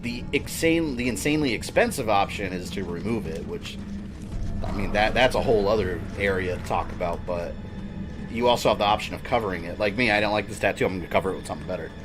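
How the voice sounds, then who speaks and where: Chatty thirties guy streaming a game, kinda low voice, game music going behind him. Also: fast. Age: 30s. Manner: rambling, chatty, with laughs. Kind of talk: casual chat while gaming.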